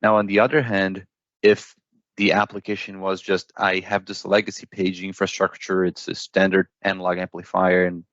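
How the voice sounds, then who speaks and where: A man giving a conversational technical product explanation as an interview guest, often checking in with a quick "right?". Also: sits low, level tone, a bit bright, no echo, dull audio. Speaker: product expert guest from a paging hardware company.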